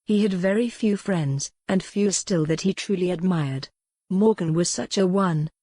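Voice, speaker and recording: Woman, audiobook narrator, low pitch, dark tone, dry close mic, measured. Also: dry recording. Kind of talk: scripted narration.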